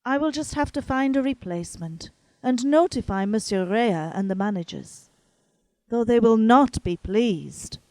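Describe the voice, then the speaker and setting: A woman playing a composed, kindly, authoritative older madame in an audio drama, with formal, measured phrasing. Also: fairly high pitch, animated, warm tone, no echo, poor audio. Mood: brisk, resolved.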